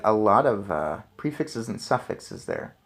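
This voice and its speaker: A man's voice: fairly low pitch, sing-song, unhurried, creaky, fairly bright tone. Man dramatically reading a storybook aloud. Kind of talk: expressive children's book narration with casual asides.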